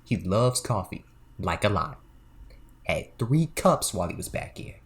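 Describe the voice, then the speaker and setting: A man's voice: lowish voice, animated, measured pace. A man playing a sly, touchy back-room card dealer in a comedic audio play, with a swaggering, theatrical and demanding manner.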